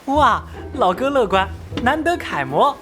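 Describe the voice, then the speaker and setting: A woman voicing a cheeky, impatient junior police investigator in an animated crime drama, with playful, colloquial delivery. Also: a little high, measured pace, music in the background. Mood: teasing, nosy.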